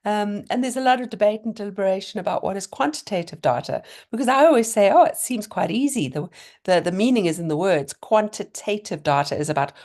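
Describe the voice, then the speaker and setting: A middle-aged woman, a little hoarse, giving an online seminar in a chatty, encouraging way over a video call. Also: mid-range voice, expressive, brisk, a little mellow. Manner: chatty, encouraging, thinking aloud with fillers and restarts. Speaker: lecturer presenting a webinar.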